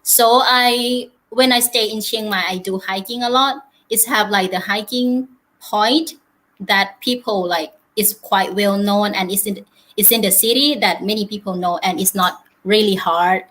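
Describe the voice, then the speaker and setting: A Thai woman chatting as a guest on a live show in English. Her speech is relaxed and friendly and full of "like" fillers, at an ordinary pitch. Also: measured pace, low-quality recording. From Thailand. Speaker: guest, Thai language teacher. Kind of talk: casual interview chat.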